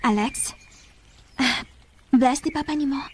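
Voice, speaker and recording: A woman's voice: sits high, a little slow. An actress playing a guarded but forgiving young mother in a radio drama, speaking earnest Cebuano Bisaya. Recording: faint background noise.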